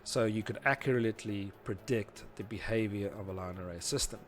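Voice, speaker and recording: A man's voice: fairly low pitch, brisk, soft background music. A man presenting an informal tutorial, talking through diagrams in a conversational, explanatory way. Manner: informal, conversational, often adds "you know" and "obviously". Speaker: presenter of a tutorial series on PA systems.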